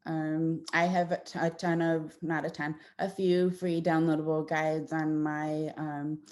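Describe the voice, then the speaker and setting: Woman audiologist, thirties, low mellow voice, easygoing slide-talk delivery with fillers and restarts. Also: level tone, a little slow. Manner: conversational, warm explanations with frequent fillers and restarts. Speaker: audiologist presenting to parents. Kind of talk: informal presentation with slides.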